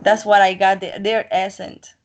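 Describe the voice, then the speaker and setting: A woman's voice: mid-range voice, flat delivery, moderate pace, highs cut off. A woman from the Colombian coast, a native Spanish speaker, chatting in English with a Colombian accent, talkative and self-assured. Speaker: interviewee. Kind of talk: casual chat.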